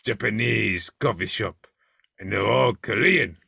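Gravelly, husky podcast host. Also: male voice, lowish voice, measured pace, sharp tone, no echo, studio quality. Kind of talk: casual interview.

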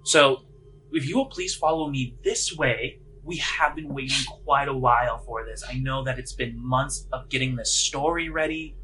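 A man's voice: medium pitch, normal speed, bright, forceful tone, a little hiss or hum, close to an everyday voice, rough recording. A man voicing a nervous, deferential prison guard who stammers and speaks with hesitant, overly polite eagerness in a tabletop game. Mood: apologetic, eager.

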